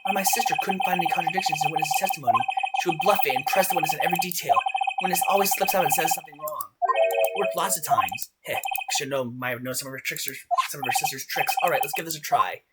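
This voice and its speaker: A man voicing an earnest, anxious young defense lawyer in a game fan dub, excitable and questioning. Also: fairly high pitch, quick pace, heavy background noise, lightly acted. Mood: puzzled. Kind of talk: video game fan dub character acting.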